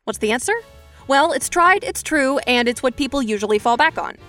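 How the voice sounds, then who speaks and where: A woman with a slightly high voice hosts a scripted how-to podcast. She talks conversationally and slips in wry, self-aware asides, with music faintly behind her. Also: lively intonation, fairly quick, a bit bright. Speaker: podcast host.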